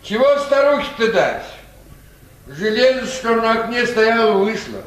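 High-pitched, echoing fussy pharmacy assistant. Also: male voice, sing-song, unhurried, full character voice. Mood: querulous. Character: fussy male pharmacy assistant calling from a hatch. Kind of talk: radio play acting of a comic short story.

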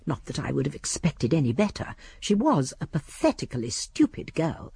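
An older woman playing a shrewd, prim elderly English spinster sleuth, narrating a radio drama in precise, husky tones. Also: low voice, big pitch swings, moderate pace, dark tone, no room sound, heavily acted. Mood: prim, gossipy.

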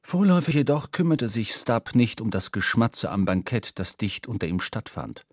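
A man narrating a literary sea tale for a radio drama, measured, with dramatic emphasis. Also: on the low side, very animated, no room sound.

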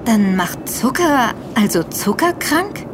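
A woman's voice: a little high, big pitch swings, takes it slowly, noisy background. An actress playing an informed, inquisitive woman who speaks in an explanatory way in a scripted audio drama.

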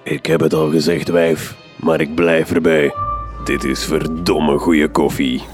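An actor with a Flemish accent playing a gruff, foul-mouthed, blustering detective in a radio drama. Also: male voice, rumbling low, little pitch movement, measured pace, over music. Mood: gruff jovial.

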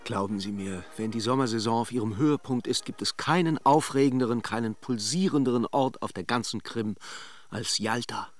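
An actor in a radio drama playing a suave, gossipy holiday idler, musing theatrically with ironic courtesy. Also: male voice, mid-range voice, brisk, soft background music, natural voice. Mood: dreamy musing. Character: suave, gossipy male holiday idler watching café guests.

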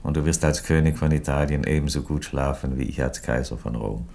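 Very deep-voiced, ironic Roman emperor. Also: male voice, vocal fry, a little mellow. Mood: calm, satisfied. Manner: measured, theatrical, lingering on phrases. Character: ironic, dignified Roman emperor resigning his empire, male. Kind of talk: German radio play stage acting.

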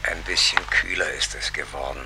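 Deep, gravelly, placid dead townsman. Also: steady tone, normal speed, noisy background. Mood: placid, reminiscing. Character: dead small-town husband, slow, plain-spoken and placid. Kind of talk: theatrical radio drama acting.

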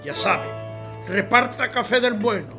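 An arrogant fascist general. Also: male voice, sits high, animated, unhurried. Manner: haughty, commanding. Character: haughty male fascist general, commanding. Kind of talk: radio drama acting. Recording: music bed behind.

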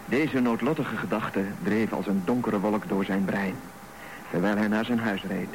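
A man's voice: on the low side, fairly even intonation, moderate pace, lightly acted. A man narrating a scripted radio drama as storyteller. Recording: noisy radio broadcast recording.

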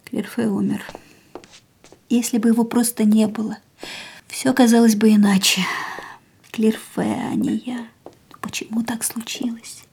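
An actress in a radio play, voicing a grieving young woman in a quiet, stunned inner monologue that often drops to a whisper. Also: on the high side, level tone, measured pace, gravelly, long pauses, slight background noise. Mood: whispering grief.

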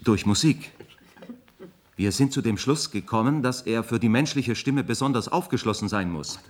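A man plays a calculating professor with a mid-pitched voice. He lectures precisely and coolly, with clinical detachment. The style is science fiction radio drama. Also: lively intonation, normal speed, slight background noise, close to an everyday voice. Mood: coolly persuasive.

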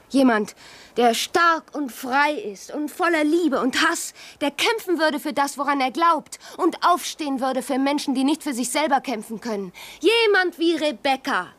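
An actress playing a proud, scornful, passionate young gentlewoman in a radio drama, with an impassioned, cutting delivery. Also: sits high, full character voice.

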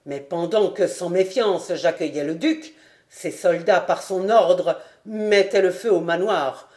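Woman narrator voicing a dignified lieutenant defending his honour, firm and loud. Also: fairly low pitch, slow pace. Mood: composed. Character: steadfast male lieutenant, cool then indignant.

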